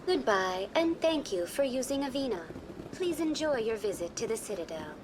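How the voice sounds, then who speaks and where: A woman playing an impassive, polite virtual guide program in a video game, formal and measured in her delivery. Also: fairly high pitch, sing-song, noisy background. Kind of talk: video game dialogue acting.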